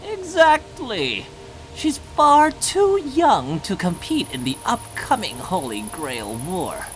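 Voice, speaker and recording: A woman's voice: mid-range voice, huge pitch swings, takes it slowly, a little hiss or hum, poor audio. A woman playing a gleefully cruel, mocking elderly patriarch in a comic anime parody, with a theatrical, sarcastic delivery. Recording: music behind the voice.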